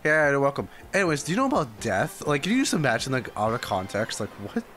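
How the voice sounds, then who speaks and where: A man's voice: mid-range voice, very animated, fast, a bit bright. A young man doing casual, reactive live commentary as a game streamer, often repeating himself as he muses. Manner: reactive, repetitive exclamations and musing. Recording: faint game music behind.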